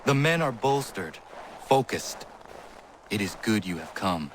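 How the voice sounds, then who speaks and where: A man's voice: fairly low pitch, sing-song, measured pace. An actor playing an earnest, stubborn young male assassin in a video game, speaking in formal, terse, confrontational lines. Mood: stoic. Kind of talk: dramatic video game cutscene acting. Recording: clear background ambience noise.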